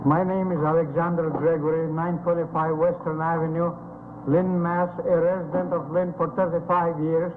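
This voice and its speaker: A man in his sixties from Bulgaria testifies before a committee in a formal, measured way. His voice sits fairly high. The archival recording is thin and phone-like, with faint hiss. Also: little pitch movement. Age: 60s. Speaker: hearing witness. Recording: tinny noisy archival tape.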